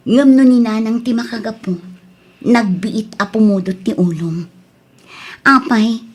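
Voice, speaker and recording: A woman's voice: medium pitch, a little mellow, lightly acted. A woman acting an earnest younger sister who coaxes and reasons, in an Ilocano radio drama.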